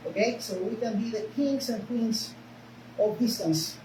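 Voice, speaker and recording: A woman's voice: a little high, unhurried. A woman with a Spanish accent, a native Spanish speaker, teaching a karate class in short broken phrases and often checking in with "okay?". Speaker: karate instructor. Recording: echoey room, mic far off, noisy.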